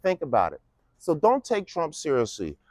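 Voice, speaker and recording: A man's voice: fairly high pitch, huge pitch swings, moderate pace, a little mellow, no room sound, studio quality. A man in his fifties delivering a casual, mocking political monologue with lots of rhetorical questions. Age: 50s. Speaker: vlogger giving a political speech.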